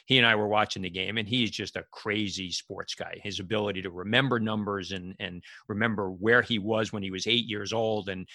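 Bright, forceful lower-voiced middle-aged male host. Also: fairly even intonation, a little fast, hardly any pauses, no room sound, studio quality. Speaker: interview host. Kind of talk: casual anecdotal interview chat.

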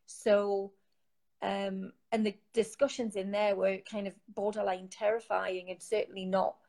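A woman's voice: medium pitch, level tone, a little slow, gravelly, darkish tone. Geordie woman, interview guest, casual, rambling, phone mic. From Newcastle, England. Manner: chatty, rambling, with many fillers and restarts. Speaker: guest, founder of an online support group. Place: home, sitting on a sofa.

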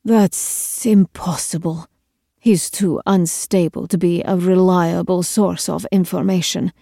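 A woman's voice: on the low side, fairly even intonation, slow pace, gravelly, dark tone, no room sound, clean studio sound, full character voice. A woman voicing a stern, imperious queen in an audiobook story, cold, clipped and commanding. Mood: dismissive. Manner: clipped, authoritative, scolding.

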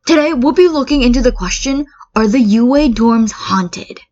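A woman's voice: a little high, lively intonation, moderate pace, a little mellow, pitched above the performer's own voice. A woman voicing an eager, chatty teenage boy who hosts ghost-hunting videos in a story. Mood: excited. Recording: dull lo-fi recording.

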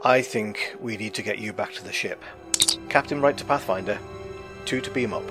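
A man playing a measured, tactful starship captain in a sci-fi audio drama. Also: lowish voice, close to an everyday voice. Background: music.

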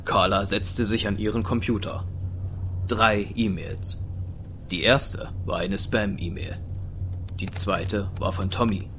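A man's voice: fairly low pitch, steady tone, slow pace, fairly bright tone, slight background noise. A man narrating an audio drama from a script. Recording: music under the voice.

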